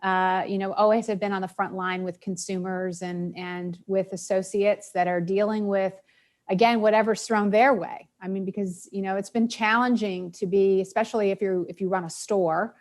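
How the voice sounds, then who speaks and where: Female executive, middle pitch, easy conversational panel talk. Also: flat delivery, normal speed. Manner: conversational, many fillers. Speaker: retail executive. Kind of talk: panel discussion.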